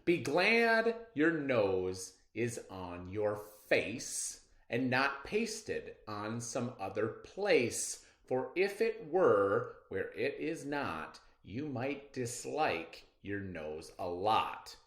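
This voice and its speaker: A man teaching young children to read, with an expressive, instructive delivery and emphatic phrasing, as in a read-aloud lesson. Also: mid-range voice, drawn-out words, reverberant. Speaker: elementary reading teacher.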